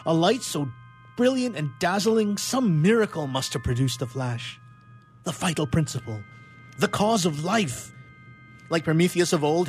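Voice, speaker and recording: A man's voice: medium pitch, lightly acted. A man playing an obsessed young scientist in a radio drama, speaking in a grandiose, florid theatrical manner.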